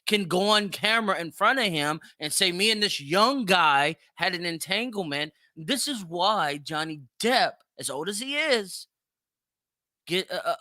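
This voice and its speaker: A man's voice: high voice, sharp tone, no echo, studio quality. A man hosting a solo opinion podcast, speaking emphatically and repeating phrases for effect. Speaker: podcast host.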